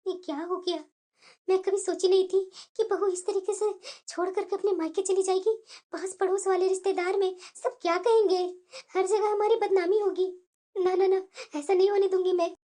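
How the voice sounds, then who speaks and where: A woman's voice: extremely high pitch, fairly even intonation, normal speed, dark tone. A woman voicing a worried, fretful middle-aged mother-in-law in a family cartoon, pleading as she talks. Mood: worried, dismayed.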